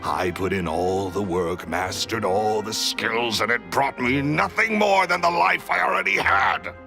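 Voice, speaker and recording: Gravelly, theatrical villainous magician. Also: male voice, fairly low pitch. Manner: theatrical, sneering, gloating. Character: bitter, vain, greedy villainous magician and thief. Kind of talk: video game voice acting.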